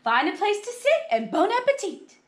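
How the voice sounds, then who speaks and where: A woman voicing a brisk, pleasant female schoolteacher in a storybook, announcing to her class. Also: very high voice, sing-song, echoey room, voice pushed up.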